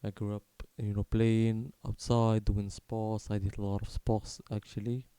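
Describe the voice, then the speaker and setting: A man in his twenties from Morocco tells a personal story unscripted, in a halting, candid, casually sweary way. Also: on the low side, little pitch movement, mellow, smooth, dry recording. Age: 20s. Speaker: video creator telling his life story.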